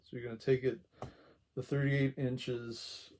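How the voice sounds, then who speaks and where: A quiet-voiced man giving a casual, unhurried hands-on tutorial, talking along as he works, with a gravelly voice. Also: mid-range voice, flat delivery. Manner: unhurried, talks along while working. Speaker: craft tutorial host.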